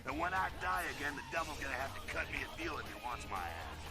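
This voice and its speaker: An actor playing a brash, cynical rebel vampire mentor in a video game, with a slangy, swaggering delivery. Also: male voice, mid-range voice, big pitch swings, quick pace, vocal fry, loud music behind the voice. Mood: defiant, scornful. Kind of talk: video game character dialogue.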